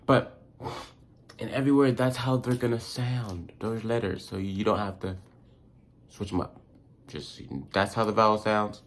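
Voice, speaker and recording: A man with a fairly low voice hosts an informal pronunciation lesson. He is a native English speaker who explains foreign-language sounds in loose, slangy talk. He often repeats a word to demonstrate it. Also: steady tone, measured pace, slight background noise. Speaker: host of a language tips video. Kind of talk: casual vlog-style pronunciation lesson.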